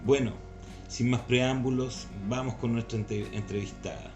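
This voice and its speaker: A man's voice: medium pitch, steady tone, unhurried. A man with a Chilean accent hosting an interview in a semi-formal, somewhat hesitant way, often adding tag questions. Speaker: interview host. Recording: echoey room, music behind.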